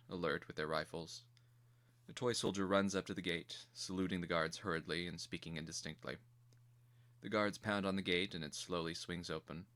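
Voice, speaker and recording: Casting: male narrator for fantasy audio drama, very low voice with a forceful edge, measured and theatrical.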